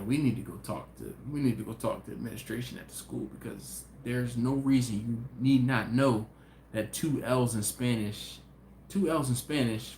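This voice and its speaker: Guy rambling on a live stream, chatting with viewers, roomy echo, faint hum behind. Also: mid-range voice. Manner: rambling, talks to viewers directly, restarts often. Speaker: barbecue channel host. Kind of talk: casual live-stream chat, reading viewer comments.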